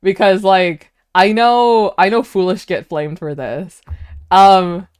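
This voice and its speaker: A woman's voice: medium pitch, slow pace, a little mellow, smooth, dry recording, clean studio sound. A young American woman streamer chatting casually with viewers, giggling often and restarting her sentences. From United States. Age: young adult.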